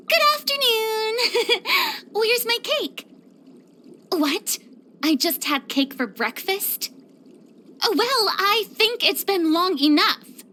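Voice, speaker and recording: A woman voicing a vain, pampered, theatrical young stage performer in a video game, with a haughty and expressive delivery. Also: extremely high pitch, a little hiss or hum, heavily acted. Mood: grand, playful. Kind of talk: scripted video game character voice lines.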